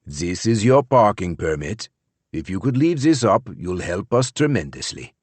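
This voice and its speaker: A man's voice: deep voice, big pitch swings, a little slow, dry recording, full character voice. A man voicing a tall, bearded property manager who speaks formally, with a sing-song foreign lilt. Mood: courteous. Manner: formal, courteous.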